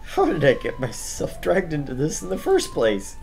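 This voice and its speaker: A man's voice: on the high side, huge pitch swings, normal speed, creaky, a little mellow, soft background music, pitched above the performer's own voice, heavily acted. A man reading a visual novel as its awkward, reluctant schoolboy narrator, telling the story and speaking his hesitant lines. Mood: awkward, pleading.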